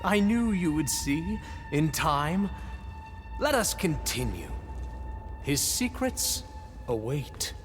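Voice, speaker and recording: Pompous game villain type ruler, thinks hes a reborn god, slow and swoopy, big hall echo w music. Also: male voice, on the high side, big pitch swings. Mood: self-assured, lofty. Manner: pompous, theatrical, deliberate. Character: grandiose self-deified leader, convinced he is a reborn ancestor. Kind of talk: video game cutscene acting. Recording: long hall reverb, music behind.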